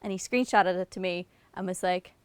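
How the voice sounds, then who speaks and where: A woman's voice: lowish voice, moderate pace, smooth, no room sound. Self-mocking young Irish woman, dry close sound. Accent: Northern Irish. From Ireland. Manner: chatty, self-mocking, giggly asides. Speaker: singer answering autocomplete questions. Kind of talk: casual self-deprecating chat to camera.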